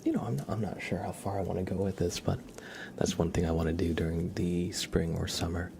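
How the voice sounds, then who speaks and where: Male ASMR streamer, low and gravelly, soft half-whispered chat, halting delivery, noticeable background noise. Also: fairly even intonation. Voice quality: soft, breathy, often whispered. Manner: slow, halting, rambling. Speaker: ASMR livestream host. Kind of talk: ASMR, casual chat with viewers.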